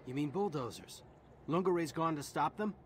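An actor playing a casual, wisecracking soldier in a borrowed alien body. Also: male voice, on the high side, expressive, moderate pace, slight background noise, natural voice. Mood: wry.

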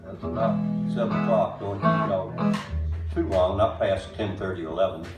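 A man in his eighties with a deep voice, talking plainly to an audience from a small stage, halting with many uhs. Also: over loud music. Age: 80s. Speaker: bandleader introducing a tribute show. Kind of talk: casual stage talk to an audience. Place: small wooden-walled music barn with audience. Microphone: far camera recording a stage mic. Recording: roomy hall sound from far off.

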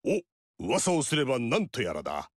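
A man voicing a gruff veteran warlord with a rough, teasing, drawling way of talking in video game dialogue. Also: mid-range voice, huge pitch swings, moderate pace, no echo, studio quality. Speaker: warlord character.

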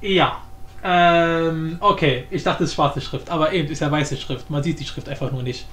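A man's voice: a little high, normal speed, fairly bright tone. Man muttering in a roomy, echoey recording. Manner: muttering to himself, slangy. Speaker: tutorial host and hobby game programmer. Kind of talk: casual screen-recorded coding tutorial, thinking aloud. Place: indoor room, voice over screen capture. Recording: roomy echo, faint background noise.

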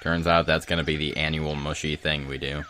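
Very low voice, earnest teenage boy adventurer played by a man, pensive, halting, cartoon dub, faint background noise. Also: level tone, bright, forceful tone. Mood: soft, fond. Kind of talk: dramatic fan comic dub.

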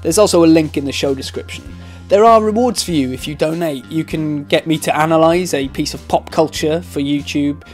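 Slightly high-voiced male podcast host over guitar. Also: fairly quick. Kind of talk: conversational solo podcast outro. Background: guitar music.

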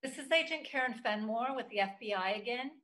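An actress playing a stern FBI agent in a comedy table read, with a dry, businesslike manner. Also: female voice, fairly high pitch, lively intonation, brisk, a bit bright. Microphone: video call.

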